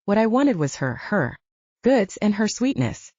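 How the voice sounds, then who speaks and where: A woman narrates first-person fiction in a soft, dark tone with animated intonation. The sound is dry and slightly dull, like a close audiobook recording. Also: medium pitch, unhurried, dry recording.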